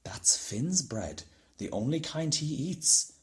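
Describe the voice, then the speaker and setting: A man voicing a giant's wily wife in a storybook, sweet and coaxing with a calm cunning. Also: fairly high pitch, slow, pitched above the performer's own voice. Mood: sweetly needling. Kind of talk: storybook character voice.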